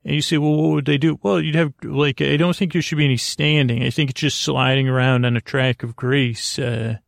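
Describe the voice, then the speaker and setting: A man hosting a sleep podcast with a halting, meandering, whimsical monologue in which he often repeats himself. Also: mid-range voice, steady tone, gravelly, dry recording, studio quality. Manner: whimsical, tongue-in-cheek. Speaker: podcast host.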